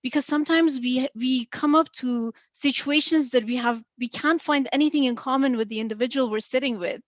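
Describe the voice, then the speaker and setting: A woman's voice: sits high, fairly quick, dry recording, muffled recording. A woman with a noticeable accent on a virtual panel, explaining earnestly with examples and many fillers over a video call. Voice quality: noticeable non-native accent. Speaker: panellist in finance.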